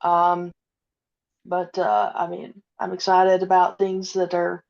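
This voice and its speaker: A woman being interviewed about her audio drama, speaking hesitantly with many pauses and fillers. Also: on the low side, monotone, gravelly, darkish tone. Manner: hesitant, many ums and uhs. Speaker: podcast guest, audio drama creator. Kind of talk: casual interview chat. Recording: dull lo-fi audio.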